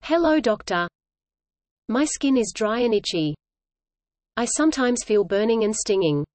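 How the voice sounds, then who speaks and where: A woman reading an English lesson dialogue aloud in a measured, even way, repeating each line for learners. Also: fairly high pitch, dry recording.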